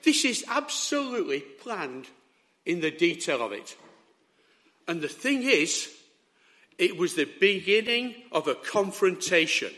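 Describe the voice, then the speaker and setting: A hoarse man delivers an emphatic sermon, his voice sitting high and leaping around with lively intonation. The tone is bright and pointed, and he keeps checking in with the people listening. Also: unhurried. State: hoarse, sore throat. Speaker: preacher.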